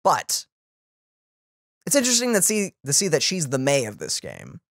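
A young man giving casual reaction commentary, animated and often searching for words. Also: on the high side, normal speed, creaky, dry recording, studio quality. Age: young adult. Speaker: reaction channel host. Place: small room at home. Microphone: boom-arm microphone, close.

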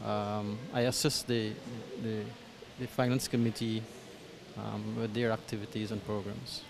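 A man's voice: lowish voice, flat delivery, a little slow, gravelly, background noise. A Guyanese man in his thirties answering interview questions in a small church hall, hesitant with many ums and restarts, speaking into a handheld mic. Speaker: parish coordinator. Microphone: handheld mic held by interviewer.

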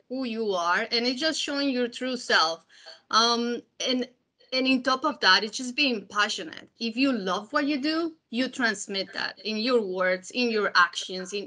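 A woman's voice: fairly high pitch, sharp tone. A woman from Colombia with a Colombian Spanish accent, a native Spanish speaker, speaking earnestly on a panel. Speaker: panelist, quality executive. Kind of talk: panel discussion on a call.

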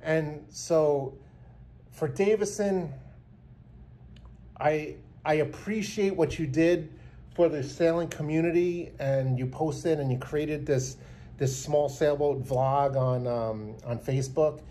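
Man, 50s, sincere rambling vlogger, slightly high warm voice, close phone mic, faint hiss. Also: slow pace. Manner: earnest, rambling, many restarts. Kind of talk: heartfelt tribute talk to camera. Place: small indoor room. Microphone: phone camera held close.

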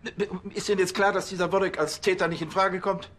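High-voiced, fussy police chief. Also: male voice, fairly even intonation, fast, fairly bright tone. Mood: exasperated. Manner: stammering, pedantic, exasperated. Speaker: actor in a police comedy series.

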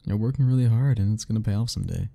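A man's voice: fairly low pitch, fairly even intonation, rapid-fire, dark tone. A young man doing an ASMR roleplay as a teasing, easygoing roommate talking to the listener, laughing often.

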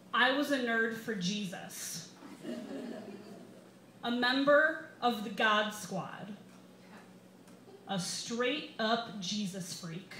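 A young American woman reads a funny personal essay in a live setting. Her voice is fairly high and bright, with deliberate, wry emphasis. The recording is roomy, with a little background noise. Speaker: stand-up comedian reading an essay. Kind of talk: comic personal essay read aloud to a live audience. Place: small brick-walled bar, indoors. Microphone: stand microphone, camera recording.

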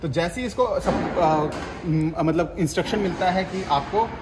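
Young man, higher voice, casual step-by-step explainer; echoey workshop, faint hum. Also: a little slow. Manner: explains step by step with many repetitions and restarts. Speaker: bakery equipment seller. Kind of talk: casual product demonstration. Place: workshop with ovens, indoors. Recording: echoey room, faint noise.

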